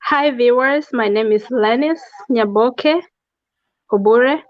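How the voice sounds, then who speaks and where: Soft-spoken woman, dry lo-fi sound. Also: fairly high pitch, slow pace, no echo. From Kenya. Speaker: guest. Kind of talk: casual interview. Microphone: video call.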